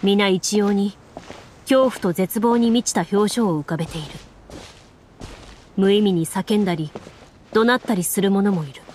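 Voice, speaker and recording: A woman voicing a composed game master, narrating a grim fantasy tale in a measured, solemn way. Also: medium pitch, a little mellow, a little hiss or hum, lightly acted. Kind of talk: scripted tabletop story narration.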